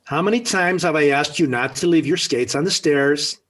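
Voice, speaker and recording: A man's voice: fairly high pitch, moderate pace, fairly bright tone. A man playing a grumpy, exasperated middle-aged father in a 1940s radio sitcom. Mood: indignant. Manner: indignant, blustering.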